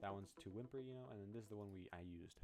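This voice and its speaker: A man with a very low voice talks quickly and casually about his own song. He rambles, restarts his sentences and pokes fun at himself. The pitch stays low throughout. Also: darkish tone, faint music behind. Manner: rambling, self-deprecating, many restarts. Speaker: musician explaining his song. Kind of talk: casual walkthrough of a project file.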